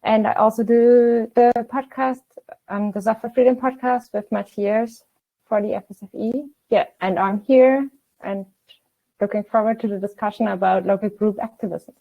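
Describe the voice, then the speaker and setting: A woman introducing herself on an online panel, talking quickly with a few restarts. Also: mid-range voice, fairly even intonation, creaky. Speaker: panel guest. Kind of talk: self-introduction on a video call. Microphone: video call. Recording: dull lo-fi call audio.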